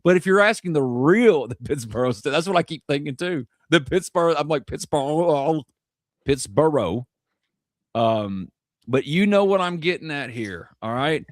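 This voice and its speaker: A man's voice: fairly high pitch, animated, unhurried, no room sound, clean studio sound. A man from North Mississippi, hosting a live sports podcast in a rambling, joking, self-deprecating way. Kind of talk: casual live sports chat.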